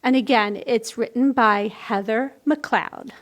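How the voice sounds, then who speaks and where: A woman's voice: fairly high pitch, slow. A woman reading a picture book to young children as a storytime host, expressively and with simple explanatory asides. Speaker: library storytime reader.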